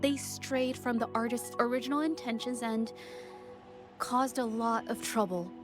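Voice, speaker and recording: A woman's voice: a little high, fairly even intonation, measured pace, over music, close to an everyday voice. A woman playing a shy, earnest young artist in a video game, speaking in a hesitant, apologetic way. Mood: earnest, wistful.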